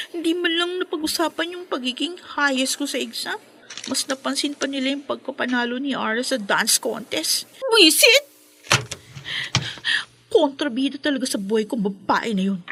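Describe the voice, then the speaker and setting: Schoolgirl villain: jealous, melodramatic schemer, piercing bright tone, wildly animated delivery, radio drama. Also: high voice, heavily acted. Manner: melodramatic, whining. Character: jealous, spiteful, scheming teenage schoolgirl rival. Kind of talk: Filipino radio drama acting.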